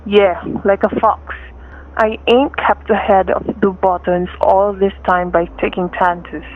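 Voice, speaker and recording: An actor playing a bossy, jumpy fugitive gangster in an old radio crime drama, talking in curt, tough street slang. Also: male voice, high-pitched, little pitch movement, a little slow, a little hiss or hum. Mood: sly, cocky. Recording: narrow, tinny vintage radio recording.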